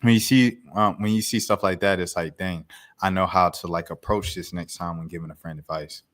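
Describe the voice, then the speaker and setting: A young man with a fairly low voice, chatting on a podcast. He rambles in a loose, slangy way, circling back with restarts and lots of filler words. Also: steady tone, brisk, fairly bright tone. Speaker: podcast co-host. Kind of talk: casual chat.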